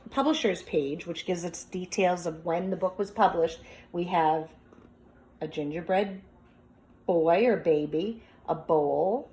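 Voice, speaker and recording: A woman's voice: fairly low pitch, darkish tone, rough recording. A woman speaking as a teacher, reading a picture book aloud with commentary and prompting young listeners with questions as if to a class. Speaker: teacher demonstrating a children's read-aloud.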